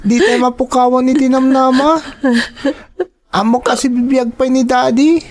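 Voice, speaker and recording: A young Filipino woman acting in a radio drama as a worried, devoted daughter who gently pleads with and comforts her mother. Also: sits high, fairly even intonation, normal speed, lightly acted. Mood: comforting, pleading.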